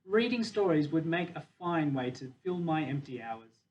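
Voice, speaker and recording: A man voicing a proud, wistful, dignified captive silverback gorilla in a measured, deliberate storybook reading. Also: on the high side, animated, natural voice. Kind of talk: children's novel read aloud in first person. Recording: dull, distant mic with faint noise.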